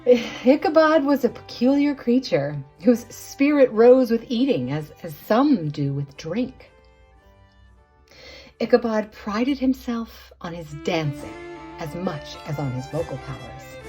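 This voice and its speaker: A woman narrating a storybook tale for a puppet show in a theatrical, expressive way, savoring the words. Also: fairly high pitch, takes it slowly, husky, soft background music.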